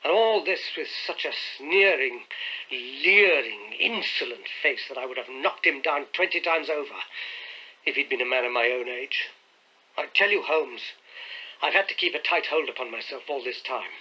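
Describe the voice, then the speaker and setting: A man reading as a distressed young gentleman who recounts family troubles in an earnest, indignant, emphatic way. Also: mid-range voice, expressive, moderate pace, slightly rough, heavily acted, rough recording. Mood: bitter regret.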